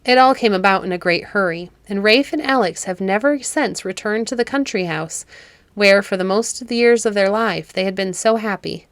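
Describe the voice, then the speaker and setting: A woman's voice: mid-range voice, moderate pace, dry recording. A woman narrating a classic children's story as a read-aloud, in a dry, close recording. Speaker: storybook narrator and podcast host.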